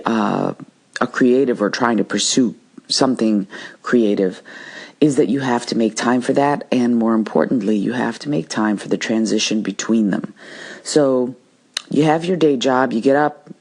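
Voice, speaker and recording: Female podcast host, low husky voice, conversational and explanatory delivery. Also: darkish tone. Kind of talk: solo podcast talk with practical advice.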